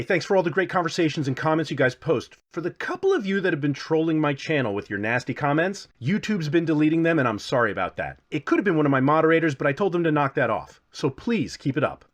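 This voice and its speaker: A man hosting a casual scripted game review with playful, self-deprecating asides. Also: a little high. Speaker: video game reviewer and channel host.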